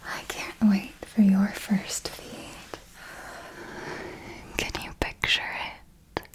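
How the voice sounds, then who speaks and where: Woman playing a slow, whispering vampire mentor. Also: medium pitch, completely flat delivery, close to an everyday voice, rough recording. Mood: wistful, hungry. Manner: slow, deliberate, hushed, doting yet menacing. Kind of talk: whispered ASMR roleplay.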